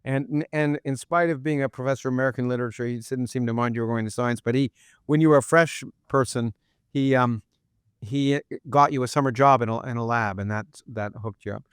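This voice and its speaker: Halting man hosting a podcast. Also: mid-range voice, fairly even intonation, clean tone, dry recording, studio quality. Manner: halting, many restarts and repeated words. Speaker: podcast host. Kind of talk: interview podcast, intro then conversation. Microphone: remote interview setup.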